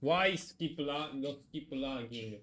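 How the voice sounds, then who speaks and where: A young man giving casual gameplay commentary, muttering and repeating himself in a halting way. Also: medium pitch, fairly bright tone. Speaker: game streamer.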